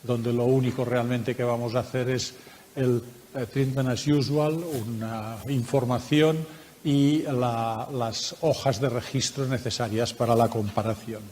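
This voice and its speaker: A man with a Spanish accent from Spain, presenting a research project at a conference workshop in a measured, explanatory way with frequent hesitations. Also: mid-range voice, fairly even intonation, darkish tone, in a large hall, a little hiss or hum. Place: conference room.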